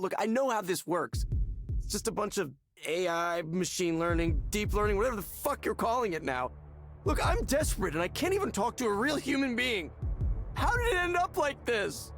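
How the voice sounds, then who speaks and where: Despairing, stammering man, wildly animated pitch. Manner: halting, stammering, pleading. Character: despairing, agitated young man in a counseling session. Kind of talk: naturalistic visual novel voice acting. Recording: music behind the voice.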